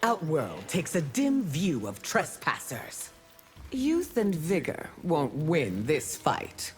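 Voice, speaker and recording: Empress for a fighting game: fairly low, unhurried, imperious, regal, expressive intonation. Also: female voice, exaggerated voice. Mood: stern, threatening. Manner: regal, stern, measured. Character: stern imperious empress, a protective mother. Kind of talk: video game acting.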